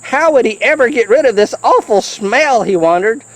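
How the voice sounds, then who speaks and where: A person reading a children's picture book aloud in an animated, chatty way, with playful asides to the listener. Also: medium pitch, moderate pace, no room sound, low-quality recording. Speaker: storybook reader.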